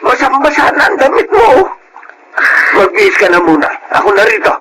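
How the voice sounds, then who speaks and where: A man's voice: very high-pitched, huge pitch swings, normal speed, exaggerated voice. A man playing a fussy, protective household driver in a Filipino radio drama, insistent and exclamatory, with kindly scolding. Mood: flustered scolding. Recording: clear background noise under voice.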